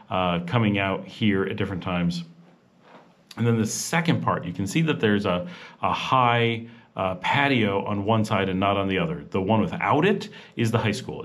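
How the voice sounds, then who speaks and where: A man hosting a vlog, narrating a walking tour in a casual voice-over that rambles with many fillers and restarts. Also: fairly low pitch. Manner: chatty and informal. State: rushed. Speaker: vlog host.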